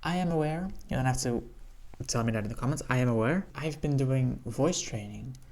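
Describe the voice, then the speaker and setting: A woman with a lower-pitched voice, sharing personal reflections in an educational vlog, earnest and candid. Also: animated, fairly quick, a little mellow. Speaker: vlogger.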